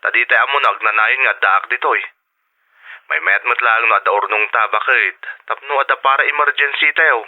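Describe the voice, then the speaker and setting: Weary husband calling from abroad, tinny phone sound, mildly reproving, radio drama. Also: male voice, mid-range voice, moderate pace. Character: tired, prudent working husband abroad, a driver. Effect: telephone filter. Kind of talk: Ilocano radio drama acting. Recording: thin, narrow telephone-like sound.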